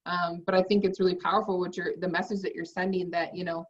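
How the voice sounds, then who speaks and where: Woman host, kinda low voice, rambly encouraging questions, sounds far off and muffled. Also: little pitch movement, quick pace. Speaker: podcast host. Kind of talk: casual interview. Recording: distant, muffled mic.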